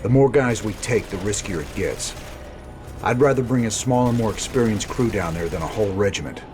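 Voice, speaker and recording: An actor playing a weary, tough rebel commander who speaks in a low, gritty drawl. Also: male voice, a little fast, background noise, lightly acted. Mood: resolute. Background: music score.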